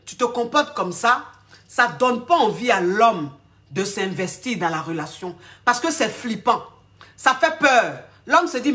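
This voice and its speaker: A woman relationship coach with a cold, talking in an animated, repetitive way and calling her listener darling. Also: high-pitched. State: has a cold. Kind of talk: casual advice vlog talk.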